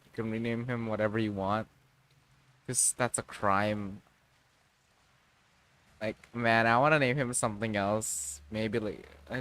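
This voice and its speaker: A man's voice: medium pitch, fairly bright tone. A man livestreaming a game with casual, blunt, sweary, laid-back commentary.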